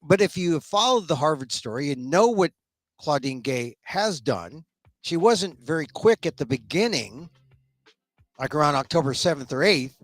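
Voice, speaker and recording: A man's voice: on the high side, sing-song, normal speed, no echo. A middle-aged man hosting a solo political commentary show, opinionated and a little sniffly, often repeating words as he starts a thought. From United States. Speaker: talk show host.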